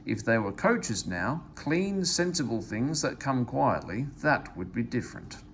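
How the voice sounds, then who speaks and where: A big, proud storybook steam engine, acted out by a man reading aloud. He is boastful and grumbling, with a very animated, swooping delivery. There is faint noise behind the voice. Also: mid-range voice, unhurried.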